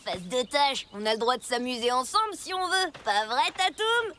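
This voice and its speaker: A woman's voice: high voice, animated, moderate pace, a little hiss or hum. A woman voicing a bossy, boastful, mocking young boy in a cartoon dub. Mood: taunting. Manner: taunting, boastful. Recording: loud cartoon music behind the voice.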